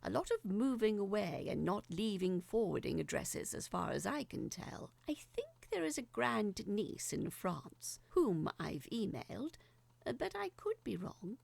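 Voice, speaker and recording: Casting: bemused, fussy woman, acted by a narrator, conversational audio drama monologue, expressive melody with vocal fry. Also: medium pitch, unhurried, no echo, heavily acted. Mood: wry, musing. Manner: wry, musing, conversational.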